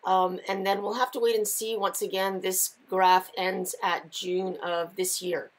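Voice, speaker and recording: A woman's voice: mid-range voice, little pitch movement, measured pace. A middle-aged woman giving informal market commentary in a conversational, explanatory way. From Canada. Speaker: lumber market analyst and host. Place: small indoor office with shelves of files.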